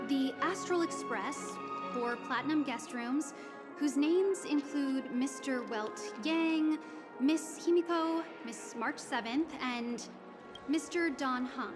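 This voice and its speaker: A woman playing a courteous, formal, apologetic young hotel receptionist in a video game. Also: high-pitched. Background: game music.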